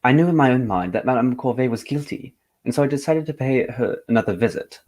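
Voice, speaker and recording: A man plays a gentleman detective in a radio drama. He has a mid-range male voice and speaks with polite formality. His delivery is composed and measured, as if laying out a case. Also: mid-range voice, lively intonation, natural voice. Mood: earnest recounting.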